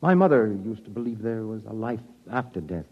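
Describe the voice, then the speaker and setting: Resolute, husky-voiced radio sci-fi hero. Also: male voice, on the low side, animated, brisk, no room sound. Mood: determined. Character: resolute, protective young male rebel fleeing to Earth's surface. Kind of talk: 1950s radio drama acting. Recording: muffled 1950s radio recording.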